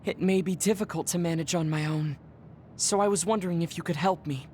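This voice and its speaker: A man voicing a reserved young male soldier who hides a secret, speaking formally and softly. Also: fairly high pitch, brisk, a little hiss or hum, close to an everyday voice. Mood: worried, formal. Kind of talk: game cutscene dialogue. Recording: game audio with music behind.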